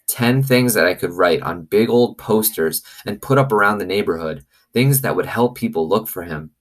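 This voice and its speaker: A man with a low voice reads a children's novel aloud in a storytelling style. He works as a teacher would, adding simple commentary. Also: lively intonation, moderate pace. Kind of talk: expressive storybook reading with commentary.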